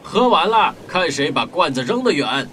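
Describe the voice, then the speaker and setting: A man playing a lively, expressive young boy in a children's radio drama. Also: on the high side, moderate pace, a little hiss or hum. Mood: playful.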